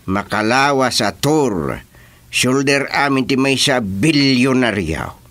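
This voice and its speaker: A male actor with an Ilocano accent playing an excitable, joking village senior in a rural comedy radio drama. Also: mid-range voice, takes it slowly. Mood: teasing, amused. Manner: teasing, animated, colloquial.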